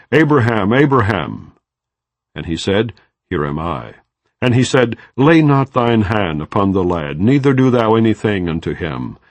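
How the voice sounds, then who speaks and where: A man giving a measured, solemn scripture reading. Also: low-pitched, no echo. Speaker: Bible reader.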